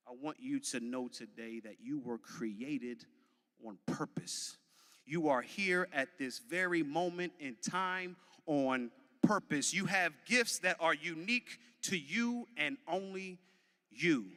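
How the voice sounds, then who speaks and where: A man in his early thirties with an American accent gives a motivational commencement speech, emphatic and deliberate, with repetition and dramatic pauses like a preacher. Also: medium pitch, bright, forceful tone. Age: early 30s. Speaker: graduation commencement speaker. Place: large venue, graduation ceremony. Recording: long hall reverb from a PA.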